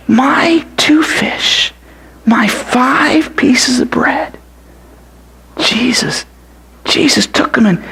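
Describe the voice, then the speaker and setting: A man's voice: very high voice, a bit bright, heavily acted. A man voicing an eager, food-loving eight-year-old boy who tells his story breathlessly and whispers when he counts. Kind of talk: character monologue storytelling.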